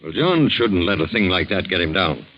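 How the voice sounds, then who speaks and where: Brusque deep-voiced businessman, swooping delivery. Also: very animated, moderate pace, a little hiss or hum. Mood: self-justifying. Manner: brusque, indignant. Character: brusque, self-justifying, middle-aged wealthy businessman. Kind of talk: 1940s radio drama acting. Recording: muffled 1940s radio recording.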